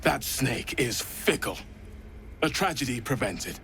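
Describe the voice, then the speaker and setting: An actor playing an earnest, concerned male druid in a video game, speaking in a measured way. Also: mid-range voice, expressive, vocal fry, a bit bright, a little hiss or hum. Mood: grave concern. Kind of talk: video game cutscene acting.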